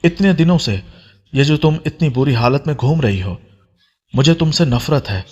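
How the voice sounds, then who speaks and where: A man's voice: fairly high pitch, animated, moderate pace, husky, highs cut off. A man voicing an angry, threatening young man in a romance audio story, with a commanding manner. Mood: menacing.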